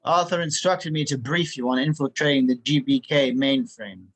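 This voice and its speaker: A man's voice: mid-range voice, normal speed, lightly acted. A man reading a precise tech handler giving a mission briefing in a table read, businesslike in tone.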